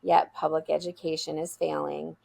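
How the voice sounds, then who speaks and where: A school founder being interviewed, she speaks with earnest emphasis and a rather low voice. Her tone carries a gravelly, creaky texture, and she often restarts a phrase before pushing on. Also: level tone, moderate pace. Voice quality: loud voice. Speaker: school founder, podcast guest. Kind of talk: interview answers.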